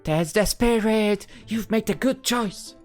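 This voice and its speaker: A woman's voice: mid-range voice, slow pace, a little mellow, faint music behind. A woman voicing a composed, confident, teasing schoolgirl in a visual novel game.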